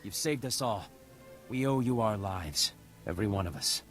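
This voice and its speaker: A man's voice: on the low side, lively intonation, normal speed. An actor playing an earnest male ship's officer in a scripted space opera video game. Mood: relieved, grateful. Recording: game dialogue with loud background music. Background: music.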